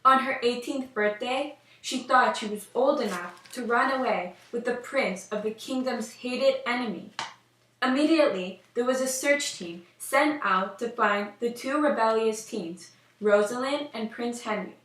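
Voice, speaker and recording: A woman's voice: fairly high pitch, unhurried, fairly bright tone. A young woman reading a scripted fairy tale aloud as storyteller. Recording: roomy echo, distant microphone.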